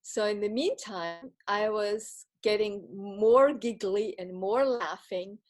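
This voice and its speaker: A woman who grew up speaking Bavarian tells a personal story in English. She speaks at a middle pitch, reflective and earnest, often circling back and restarting her sentences. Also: takes it slowly, darkish tone. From Bavaria, Germany. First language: Bavarian German. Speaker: retreat participant giving a testimonial.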